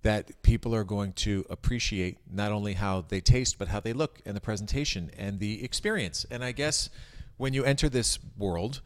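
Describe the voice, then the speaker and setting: A man's voice: lowish voice, moderate pace, few pauses. A man hosting an interview, asking friendly, leading questions in a conversational way. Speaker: interviewer, host.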